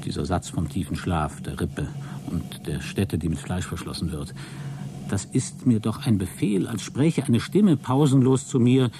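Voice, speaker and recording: An actor playing a deluded, exhausted astronaut who keeps a logbook in a radio play, halting, self-justifying and grandiose. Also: male voice, on the low side, background noise. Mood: agitated, fanatical. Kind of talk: dramatic radio play monologue.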